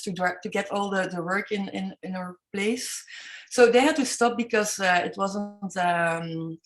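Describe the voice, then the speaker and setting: Hesitant Belgian woman telling her story. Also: mid-range voice. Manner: hesitant, many ums and self-corrections, non-native English. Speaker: artist guest. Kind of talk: interview storytelling.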